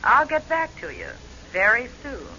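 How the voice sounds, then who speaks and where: A woman's voice: sits high, big pitch swings, moderate pace. A woman playing a cool, calculating blackmailer with pointed, controlled menace in a 1940s radio drama. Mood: sly, threatening. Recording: muffled, noisy old radio recording.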